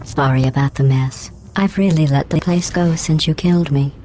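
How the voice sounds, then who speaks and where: A woman voicing a sardonic female artificial intelligence in a video game, deadpan and falsely polite, with a synthetic robotic sound. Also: deep voice, moderate pace. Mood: deadpan mockery. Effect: synthetic robotic voice processing. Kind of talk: scripted video game voice acting. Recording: echoey game audio, clearly noisy background.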